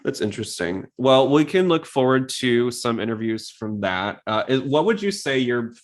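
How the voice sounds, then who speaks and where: A man's voice: mid-range voice, lively intonation, moderate pace. A man hosting a casual podcast, talking in an informal, rambling way. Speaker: podcast host.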